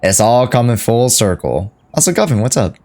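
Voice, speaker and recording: A man's voice: mid-range voice, big pitch swings, moderate pace, darkish tone. A man doing casual live reaction commentary as a video game streamer, talking to his chat in slangy, offhand phrases.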